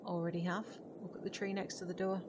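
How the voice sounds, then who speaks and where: Composed witch played by a woman, low flat voice. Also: lightly acted. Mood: grave, steady. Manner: measured, formal, avoids contractions. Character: composed, formal-spoken witch, a grown woman. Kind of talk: audio drama acting. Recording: faint background noise and ambience.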